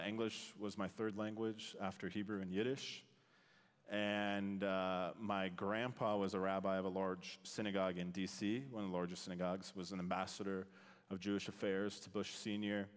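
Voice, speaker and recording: A man in his thirties speaking as a guest in a church, casual and animated, laughing as he tells stories. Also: sits low. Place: church sanctuary. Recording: hall reverb.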